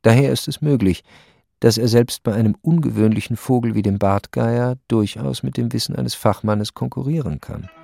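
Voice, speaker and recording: A man narrating a radio play as a detached, precise storyteller, matter-of-fact and deadpan. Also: mid-range voice, moderate pace, gravelly, no echo, clean studio sound.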